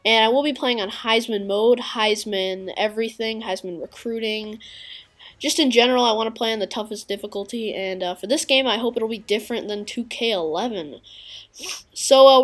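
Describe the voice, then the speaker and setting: A woman giving casual, halting gameplay commentary with a congested, sniffly voice and many filler pauses. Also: on the high side. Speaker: gaming video creator.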